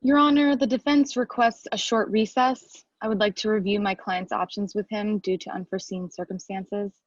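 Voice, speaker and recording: A woman reading a composed young female defense lawyer in a table read, calm and explaining. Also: medium pitch, no room sound, muffled recording, close to an everyday voice. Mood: formal. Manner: measured, explaining calmly.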